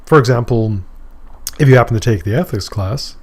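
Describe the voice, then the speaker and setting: A middle-aged man from Maine lectures on philosophy at a mid pitch. He talks in a loose, conversational way and stops for examples, fillers and asides. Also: a little fast, a little mellow. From Maine, USA. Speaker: philosophy professor. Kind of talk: recorded course lecture.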